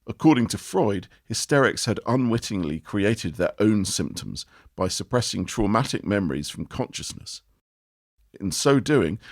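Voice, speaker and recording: Lively low-voiced male narrator. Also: no room sound, studio quality. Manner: expressive reading with lively rises and falls. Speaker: narrator reading an article. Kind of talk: measured audiobook narration.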